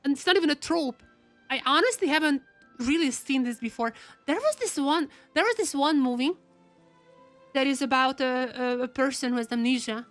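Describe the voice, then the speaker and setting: High-pitched woman reacting to a game. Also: moderate pace, soft background music. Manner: excitable, repeats words. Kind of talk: live reaction commentary, casual chat.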